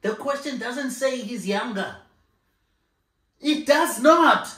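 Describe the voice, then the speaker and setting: Man, 30s, slow and insistent, voice rising and falling a lot, echoey living room, camera mic. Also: very high voice, expressive, pushed and bright. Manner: insistent, repeats himself, laughs a lot. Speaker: co-host of a couple's channel. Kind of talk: casual argument on a couch. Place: living room, sitting on a sofa. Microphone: far camera.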